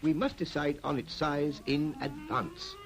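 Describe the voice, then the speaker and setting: Emphatic, lively male town planner. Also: on the high side, measured pace, full character voice, low-quality recording. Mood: brisk, explanatory. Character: confident male town planner, brisk and persuasive. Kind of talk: 1940s animated public information film acting. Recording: old 1940s film soundtrack, music behind.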